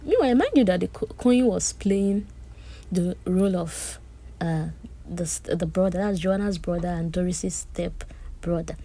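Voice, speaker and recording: A woman gives a chatty, animated recap of a reality-show drama, with personal asides. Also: lowish voice, a little slow. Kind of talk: casual recap commentary.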